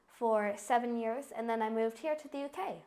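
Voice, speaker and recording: A woman's voice: fairly high pitch, moderate pace, a little mellow. A woman raised in America and Canada, talking in a casual, easygoing way in a documentary interview.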